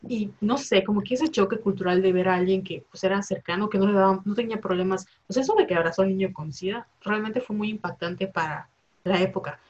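A woman's voice: medium pitch, fast talker, darkish tone, highs cut off. A woman in her twenties with a Mexican accent, chatting casually and rambling, full of slang and fillers. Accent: Mexican Spanish. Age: 20s. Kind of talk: casual chat.

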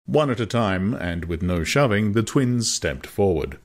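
A man's voice: lowish voice, animated, measured pace. A man reading a novel aloud as narrator, in dramatized, scripted literary storytelling. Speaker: audiobook narrator.

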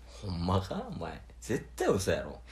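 A man's voice: deep voice, huge pitch swings, a little slow, darkish tone, a little hiss or hum, full character voice. A man acting a proud, competitive contestant rival in an amateur radio drama, talking bluntly and informally with a Kansai accent. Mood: teasing, skeptical. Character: competitive older male rival on a dating show, proud and determined.

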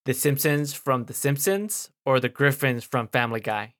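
A male podcast co-host speaks at an ordinary pitch with a bright, forward tone. He chats casually and is easily amused. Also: animated, moderate pace. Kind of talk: casual chat.

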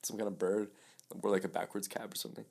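A young man with a very low voice talks through a relaxed podcast chat. He rambles with fillers and laughs easily. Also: quick pace, creaky, slightly rough. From Mexico. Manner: chatty, laughs often, many fillers. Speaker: podcast co-host. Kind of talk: casual chat.

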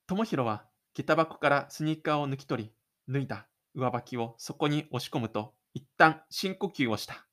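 A male narrator tells a scripted story for a homemade audio drama. His pitch is mid-range, and he delivers each action step by step as a dramatic storyteller. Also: animated, normal speed, hardly any pauses, a bit bright. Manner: dramatic storytelling with spoken sound effects.

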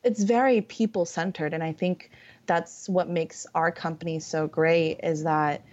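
A woman's voice: lowish voice, fairly even intonation. Young californian woman, friendly guest, lots of ums, kinda muffled lo-fi audio. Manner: friendly, fillers and laughs, deliberate. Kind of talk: casual conversation. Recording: dull lo-fi sound.

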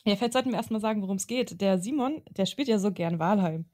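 A young woman co-hosts a podcast in casual chat, teasing her partner and laughing often. She talks loosely and with slang, at a middling pitch for a woman. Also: fast talker, dark tone. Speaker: podcast co-host.

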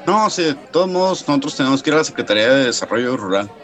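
Inquisitive, practical engineer. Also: male voice, medium pitch, very animated, rapid-fire, a bit bright. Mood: considerate, hesitant. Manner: casual, questioning. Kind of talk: radio drama dialogue. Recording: café crowd murmur, indoor room.

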